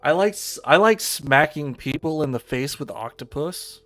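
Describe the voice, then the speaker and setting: A man's voice: a little high, expressive, moderate pace, a bit bright, no room sound, faint music behind. A man chatting casually as a game streamer, swearing and joking in loose asides. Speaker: streamer reading a visual novel aloud. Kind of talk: casual stream chat and read-aloud narration.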